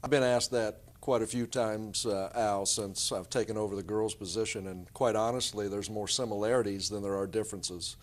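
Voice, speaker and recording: A middle-aged man with a lowish voice speaks as a coach being interviewed. He gives explanatory, conversational answers in the manner of a guest on a sports show. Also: steady tone, normal speed, hardly any pauses.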